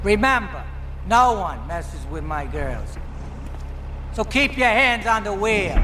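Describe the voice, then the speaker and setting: A man's voice: high-pitched, big pitch swings, takes it slowly, bright, forceful tone, full character voice. An actor playing a bossy, streetwise male mobster nightclub owner in a video game cutscene. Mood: commanding. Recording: clear vehicle and ambient noise.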